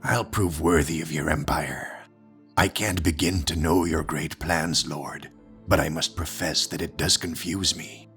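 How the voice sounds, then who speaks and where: A man's voice: rumbling low, steady tone, unhurried, fairly bright tone, soft background music, exaggerated voice. A man playing a devout, wealthy villain who speaks solemnly and formally in a comic dub. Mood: solemn devotion.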